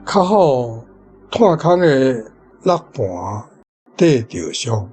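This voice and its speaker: Very slow-speaking Taiwanese man, guitar behind. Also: medium pitch, expressive, a little mellow. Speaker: language teacher. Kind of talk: reading out translations. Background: guitar music.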